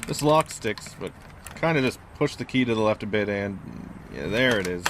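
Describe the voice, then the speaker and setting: A man's voice: fairly low pitch, lively intonation, normal speed, background noise, natural voice. A man acting in an audio drama as a plain-spoken fellow showing someone a house, casual and matter-of-fact. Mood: practical, casual. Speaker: actor.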